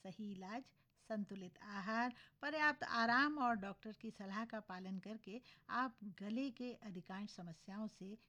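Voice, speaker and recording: A woman radio co-host with a sore, scratchy throat, explaining health matters in a relaxed conversational way. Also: mid-range voice, unhurried, few pauses. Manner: explanatory, conversational, addresses listeners. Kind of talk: casual radio health chat.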